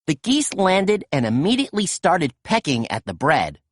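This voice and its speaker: A woman reading a children's picture book aloud in a gentle, expressive storytelling manner. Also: lowish voice, moderate pace, slightly rough, dry recording, clean studio sound. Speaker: storytime reader.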